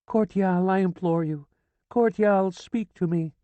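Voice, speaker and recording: A frantic older woman shrieks and begs in a very high, piercing voice, put on by a male audiobook narrator. Her cries swoop wildly up and down, the sound dry and close. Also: lively intonation, measured pace, mellow, no echo, highs cut off. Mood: pleading. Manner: shrill, frantic pleading and screaming. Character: hysterical grieving older woman, wailing and shrieking. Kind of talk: audiobook character voice.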